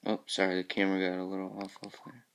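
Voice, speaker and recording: A man with a very low voice, groggy and a little raspy from just waking up. He talks loosely through his game levels, letting phrases trail off into quiet mumbles as he films the screen. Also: completely flat delivery, racing, slight background noise. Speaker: level creator showing his game work. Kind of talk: casual screen-capture walkthrough.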